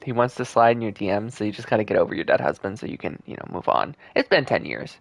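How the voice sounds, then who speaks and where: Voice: medium pitch, lively intonation, quick pace, creaky, no echo. A game streamer giving casual, slangy, joking reaction commentary in a laid-back way.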